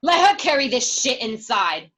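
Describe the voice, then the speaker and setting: A woman's voice: high voice, big pitch swings, moderate pace, bright, forceful tone, full character voice. A woman acting as an angry, hurt wife of a jailed mobster, accusing and emotional, in a radio drama. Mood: furious.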